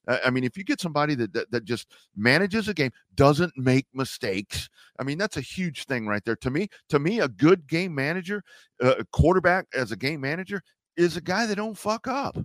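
Male co-host, mid pitch, halting restarts and cursing, close dry mic. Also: very animated, no echo. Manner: restless, stammers and restarts, swears freely. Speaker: sports podcast co-host. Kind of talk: casual sports talk.

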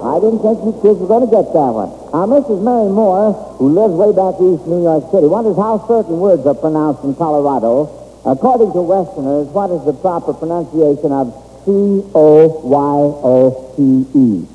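Muffled old-radio American quizmaster, high-pitched man. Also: expressive, faint music behind. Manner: folksy, halting, encouraging to children. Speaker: quizmaster of a children's quiz show. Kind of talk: old-time radio quiz hosting. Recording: old radio, muffled, hissy, reverberant hall.

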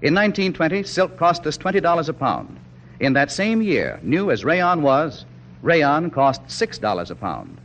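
A man's voice: fairly high pitch, very animated, moderate pace, fairly bright tone. An American man reading scripted 1940s radio sponsor narration in an emphatic, oratorical way. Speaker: commercial spokesman. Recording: dull, faintly noisy 1940s radio broadcast.

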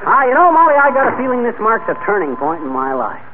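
An actor playing a boastful, bumbling husband in 1940s radio comedy, with folksy, slangy, cocksure delivery. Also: male voice, very high voice, wildly swooping pitch, fast, heavily acted. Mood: cheerfully hopeful. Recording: noisy, narrow-band vintage radio recording.

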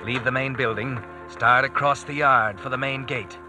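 A man's voice: mid-range voice, steady tone, normal speed, music in the background, lightly acted. A man acting as a tense inner narrator in a vintage radio drama, speaking in short clipped commands. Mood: controlled urgency. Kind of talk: 1940s radio drama narration, second person.

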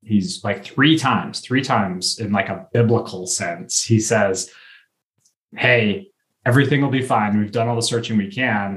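A man talks as a panelist on a podcast. His voice is on the low side, and his delivery is conversational and casual as he discusses a series. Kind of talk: casual chat about a TV series.

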